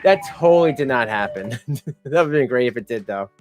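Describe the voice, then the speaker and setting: A man's voice: medium pitch, expressive, fairly quick, a little mellow, soft background music. A man giving mocking commentary over a clip, speaking to his viewers as folks. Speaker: commentary streamer. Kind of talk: reaction commentary.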